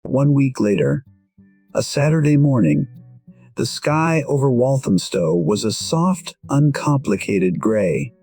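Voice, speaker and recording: A gentle man in his sixties, voiced by an actor, narrates his own story in an audio drama. He speaks slowly at a medium pitch, reflective, deliberate and wistful. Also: expressive, breathy edge, faint music behind, exaggerated voice. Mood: reflective, tender. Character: reflective, gentle 62-year-old musician telling his story.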